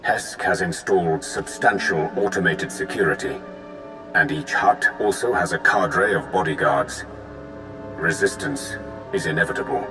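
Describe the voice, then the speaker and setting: Game villain's loyal advisor male actor: grave, formal Sith lord, very low voice over music. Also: moderate pace, lightly acted. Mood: solemn, formal. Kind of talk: video game voice acting. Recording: music behind the voice.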